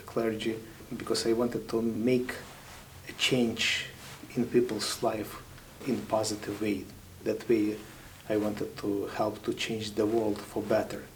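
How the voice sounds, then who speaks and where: A man in his thirties with a Ukrainian accent, a chaplain giving thoughtful, halting interview answers with many pauses. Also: on the low side, slight background noise, low-quality recording.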